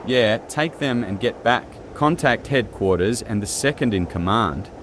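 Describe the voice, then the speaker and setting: A man's voice: fairly low pitch, lively intonation, a little slow, a bit bright. A man voicing a brash, flirty devil hunter squad leader in a manga fan dub, casual yet commanding. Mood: cocky, commanding. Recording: noisy track with street ambience.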